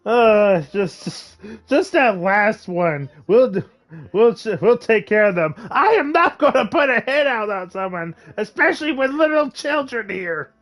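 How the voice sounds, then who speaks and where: A highish, animated woman chats casually as a game streamer. Her delivery is unhurried and playful, full of amused, incredulous asides. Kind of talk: casual reactive gameplay commentary.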